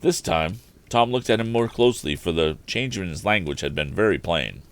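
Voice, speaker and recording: A man reading an adventure story aloud in an expressive audiobook style. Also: deep voice, normal speed.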